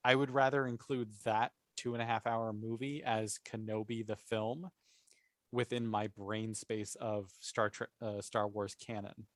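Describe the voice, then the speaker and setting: A man's voice: fairly low pitch, little pitch movement, measured pace, no room sound. A man talking casually and with emphatic enthusiasm as a panelist in a fan discussion podcast.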